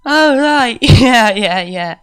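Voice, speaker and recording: A woman voicing a very drunk, giggly female pony who rambles in slurred, breathless, drawn-out words for a story reading. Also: a little high, expressive, a bit bright, smooth, pitched above the performer's own voice. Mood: merry, muddled.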